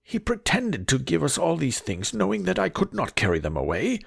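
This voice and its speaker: A man's voice: a little high, huge pitch swings, moderate pace, gravelly, slightly rough, pitched above the performer's own voice, full character voice. A man voicing a frightened old merchant father, speaking in a strained, raised voice. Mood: indignant.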